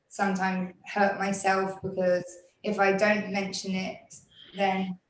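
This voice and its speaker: A woman speaking as an artist on a panel at a live event, in an earnest and steady way. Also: mid-range voice, echoey room, a little hiss or hum, rough recording.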